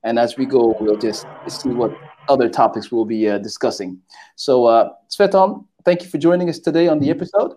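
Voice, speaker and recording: A man hosting a podcast, introducing things informally in a careful, halting way. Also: medium pitch, mellow, slight background noise. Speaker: podcast host.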